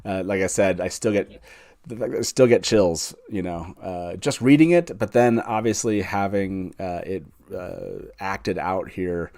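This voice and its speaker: A man's voice: low-pitched, a little slow, a little mellow. A man hosting a fan show in casual live chat, informal and self-deprecating as he talks. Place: online group video call, live stream.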